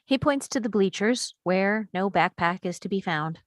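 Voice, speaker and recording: A woman reading the action lines of a screenplay at a table read, in a steady, descriptive way. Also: mid-range voice, moderate pace, dry recording, studio quality. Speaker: reader of stage directions.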